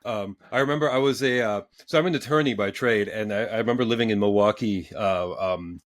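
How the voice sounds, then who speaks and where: A man in his forties hosting a casual podcast interview, talking in halting bursts with many restarts and repeated words. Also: on the low side, no echo, studio quality. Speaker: podcast host.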